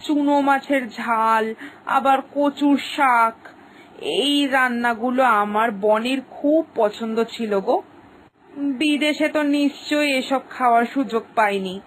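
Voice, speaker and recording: A woman's voice: sits high, low-quality recording. A woman voicing an anxious middle-aged village mother, fretting and pleading over her daughter's homecoming in a cartoon story. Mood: eager. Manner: fretful, pleading, slows down when worried. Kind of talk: cartoon voice acting.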